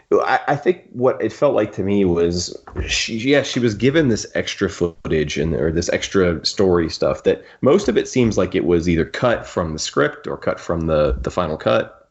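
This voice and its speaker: A man's voice: sits low, animated. A man hosting a podcast, discussing a book casually and with strong opinions, often stopping to rephrase his thoughts. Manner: opinionated, halting and rephrasing as he goes. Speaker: podcast host. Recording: dull, muffled lo-fi audio.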